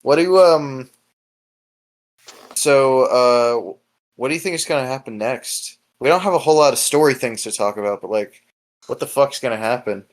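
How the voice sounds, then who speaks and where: A man's voice: mid-range voice, normal speed. A man hosting a casual podcast chat, rambling and hesitant, swearing freely as he goes. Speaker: podcast host.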